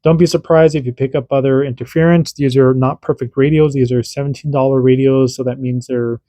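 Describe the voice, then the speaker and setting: Mellow, rambling man. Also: medium pitch, fairly even intonation, a little fast, dry recording. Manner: rambling and informal, often restarting his sentences. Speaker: radio hobbyist host; parent. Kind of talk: casual hands-on demo and explanation.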